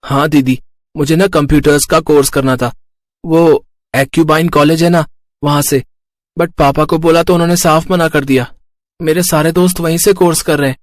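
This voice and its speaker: A man plays a boyish, entitled younger brother of college age in a cartoon tale. The voice is fairly high and closely recorded without echo, with a wheedling manner. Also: steady tone, moderate pace, studio quality, natural voice. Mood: sulky, wheedling. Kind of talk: Hindi cartoon moral story acting.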